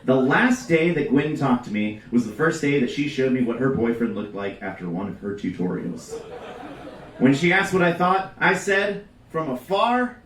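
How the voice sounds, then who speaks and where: A man reading a comedic story aloud to a live audience with a deadpan, deliberate delivery. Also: medium pitch. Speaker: reader at a live storytelling show. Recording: roomy live venue, distant mic.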